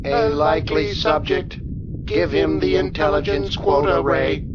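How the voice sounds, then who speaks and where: An actor playing a self-assured Venusian scientist in a radio drama, measured and suave. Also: male voice, a little high, fairly even intonation, dull audio, over a noisy crowd, close to an everyday voice. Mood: smooth, assured.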